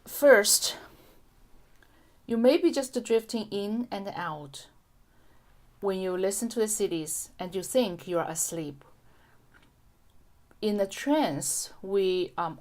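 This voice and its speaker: A middle-aged woman hypnotherapist answering a listener's question in a calm, gentle way, with frequent ums and restarts. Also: lowish voice. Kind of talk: casual explanatory talk to camera.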